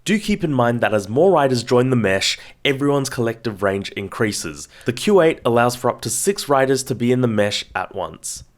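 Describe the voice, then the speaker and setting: A man's voice: medium pitch, expressive, moderate pace, hardly any pauses. A man delivering a scripted, explanatory voice-over as a product reviewer.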